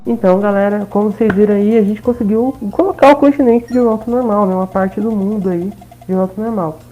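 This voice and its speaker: A woman's voice: mid-range voice, quick pace, muffled recording, faint music behind. A Brazilian woman giving casual let's play commentary on a video game. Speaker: gameplay commentator.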